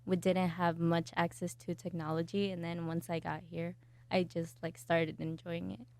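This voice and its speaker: Low-voiced woman from El Salvador. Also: little pitch movement, moderate pace, gravelly, dry recording. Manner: soft-spoken, hesitant with fillers. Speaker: graphic design student. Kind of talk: interview answers.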